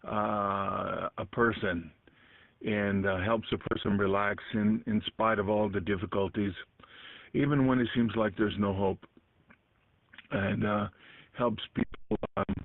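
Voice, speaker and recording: A man chatting casually over an amateur radio link, reflective and halting as he searches for words. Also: on the low side, fairly even intonation, gravelly, no echo. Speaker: amateur radio conference participant. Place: voice heard over internet-linked ham radio. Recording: muffled, dry digital radio link audio.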